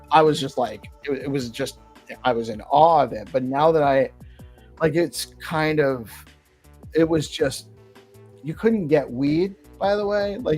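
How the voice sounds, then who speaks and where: A man's voice: fairly high pitch, darkish tone. Rambling man in casual chat. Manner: rambling, hesitant, many fillers.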